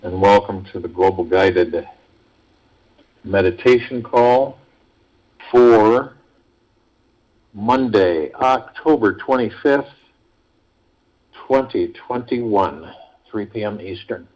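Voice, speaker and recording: A man hosting a guided meditation call, speaking in a measured, deliberate way with pauses between phrases, over a phone conference line. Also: fairly low pitch, darkish tone. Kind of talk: guided meditation talk, reading prepared spiritual text. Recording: muffled, lo-fi conference call audio.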